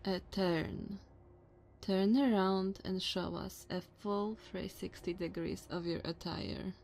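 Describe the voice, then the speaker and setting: Woman doing a tall vampire noblewoman for asmr roleplay, really slow and drawn out, haughty, bossy, mocking, talks all formal. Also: medium pitch, steady tone, gravelly, darkish tone. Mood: imperious, appraising. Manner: slow, condescending, theatrical formal diction.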